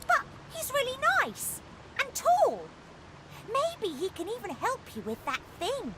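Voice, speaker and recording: A woman's voice: very high voice, huge pitch swings, measured pace, very noisy, exaggerated voice. A woman playing a small, friendly, trusting child in a fantasy game, with a guileless, childlike manner. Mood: friendly, coaxing. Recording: loud music under the voice.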